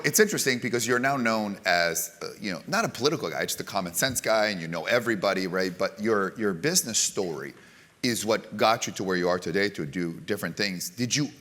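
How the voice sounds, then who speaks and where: A man's voice: fairly low pitch, fairly bright tone. A man hosting an interview, playful and joking, with fillers between questions. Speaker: interviewer, show host. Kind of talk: casual interview chat.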